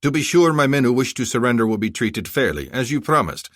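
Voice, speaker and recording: A proud rebel general, voiced by a male narrator, firm and defiant, pitched a little above the reader's own voice. The storybook reading is dry and close. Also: big pitch swings, quick pace, fairly bright tone, dry recording.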